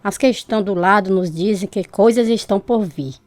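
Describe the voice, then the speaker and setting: A woman's voice: mid-range voice, brisk, a little mellow, a little hiss or hum, natural voice. An actress playing a confident, insistent spiritualist mother in a Brazilian radio drama, speaking in an assured, instructive way. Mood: assured, coaxing.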